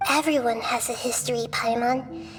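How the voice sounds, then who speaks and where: Woman voicing a calm, gentle girl goddess. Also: fairly high pitch, natural voice. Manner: soft, patient, measured. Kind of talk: video game voice acting. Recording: game music under voice. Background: game background music.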